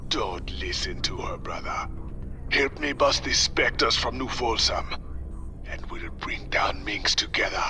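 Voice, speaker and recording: An actor playing a brooding, menacing psychic assassin with a Caribbean accent and a breathy, deliberate delivery in a video game. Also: male voice, fairly low pitch, lively intonation, noisy background. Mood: menacing, persuasive. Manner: slow, intimidating, deliberate. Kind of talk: dramatic video game acting.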